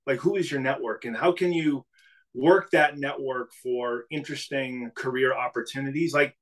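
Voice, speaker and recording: A middle-aged man from New England, a business CEO talking casually as a podcast guest, telling stories in a relaxed way with frequent restarts. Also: mid-range voice, normal speed. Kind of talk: casual interview conversation.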